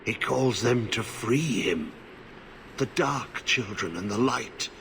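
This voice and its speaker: An actor playing a half-mad, corrupted old warden in a video game, haunted and cryptic, speaking in halting fragments. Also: male voice, mid-range voice, vocal fry, a bit bright, slight background noise. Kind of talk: video game cutscene acting.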